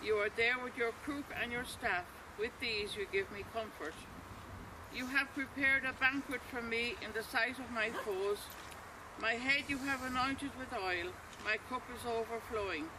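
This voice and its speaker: A man reading scripture slowly and solemnly at an outdoor remembrance service. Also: extremely high pitch. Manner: slow, measured recitation. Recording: outdoor recording with clear background noise.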